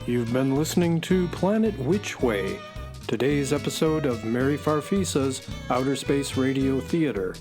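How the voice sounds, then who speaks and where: A man's voice: medium pitch, lively intonation, unhurried, music in the background. A man giving a scripted announcer's read of radio show credits. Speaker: announcer reading cast credits. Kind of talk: scripted narration.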